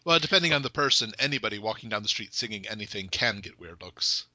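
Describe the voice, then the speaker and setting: Playful joking man, bright dry voice. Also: lowish voice, animated, quick pace, no echo. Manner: playful, joking, frequent laughs. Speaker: podcast host. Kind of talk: casual chat.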